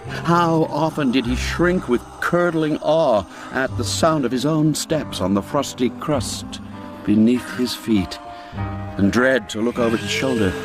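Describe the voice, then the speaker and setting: A man narrating a classic literary tale as an audiobook, with expressive, measured, theatrical phrasing. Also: mid-range voice.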